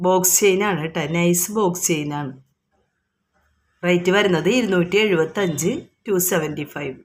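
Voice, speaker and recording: A woman's voice: on the low side, moderate pace. A woman with a Kerala accent informally showing products item by item, explaining each and repeating prices in an unscripted, repetitive way.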